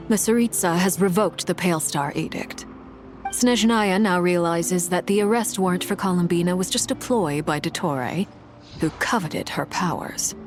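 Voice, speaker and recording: Video game noblewoman played by a woman: solemn, restrained, quietly caring, lower register, clear musical underscore. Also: natural voice. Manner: measured, formal, restrained. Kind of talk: dramatic video game dialogue. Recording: prominent music behind the voice.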